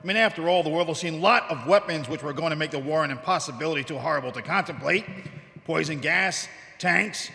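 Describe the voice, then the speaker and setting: An actor plays a condescending male army general in a reverberant hall. His voice is sharp and gravelly, and he lectures dismissively in audio drama style. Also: fairly high pitch, fast. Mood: dismissive, skeptical. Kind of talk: live audio drama acting. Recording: live stage recording in echoey hall.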